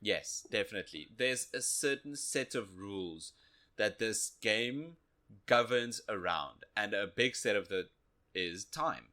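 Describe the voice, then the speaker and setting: Bright, forceful-voiced male podcast co-host. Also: fairly low pitch, animated, measured pace. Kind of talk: casual video game review talk.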